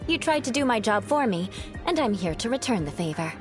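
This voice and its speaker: A woman's voice: on the high side, big pitch swings, fairly quick. An actress playing a proud, theatrical young female fighter in a video game, with a haughty, dramatic manner. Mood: haughty, vengeful. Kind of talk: anime-style video game voice acting. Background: game music.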